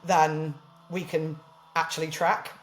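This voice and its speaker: A woman's voice: low voice, level tone, takes it slowly, reverberant, slight background noise, rough recording. A woman with a southern English accent, chatting as a podcast guest, laughing often and animatedly retelling conversations. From southern England. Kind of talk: casual chat.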